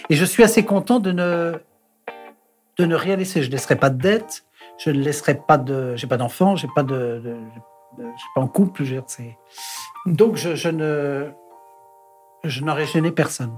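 A man's voice: fairly high pitch, faint music behind. Frank reflective man in his sixties. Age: 60s. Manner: reflective, frank, trailing off into pauses. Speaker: interview guest, artist. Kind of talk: candid conversational interview.

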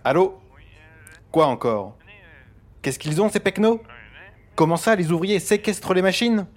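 An actor playing a brash, blustering government minister with a familiar manner in a satirical audio drama. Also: male voice, high-pitched, expressive, unhurried. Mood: annoyed. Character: brash, blustering male government minister, the boss's loyal lieutenant.

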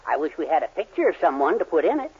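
A husky, high-voiced befuddled husband. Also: male voice, very animated, quick pace, exaggerated voice. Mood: mild, rueful. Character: meek, befuddled middle-aged husband and father. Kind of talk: 1940s radio sitcom acting. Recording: muffled 1940s radio recording.

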